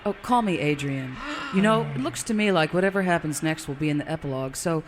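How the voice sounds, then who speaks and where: A man's voice: on the high side, fast talker, over music, natural voice. Man playing a flirty actress in long reverb. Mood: flirtatious. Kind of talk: old-time radio serial parody acting. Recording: long reverb, faint noise.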